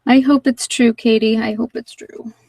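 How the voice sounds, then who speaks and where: A woman giving a casual, halting tarot reading and chatting with viewers as she thinks aloud. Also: fairly high pitch, little pitch movement, vocal fry, mellow, husky, dry recording, recorded from a distance, rough recording. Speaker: host of a live card-reading session.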